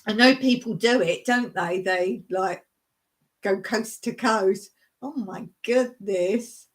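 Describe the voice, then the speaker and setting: A woman chats through a relaxed live stream, rambling from point to point and breaking into laughter. She talks at an unhurried, slow pace, with her pitch sitting in an ordinary middle range. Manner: rambling, chatty, laughs often. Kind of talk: casual live chat to viewers.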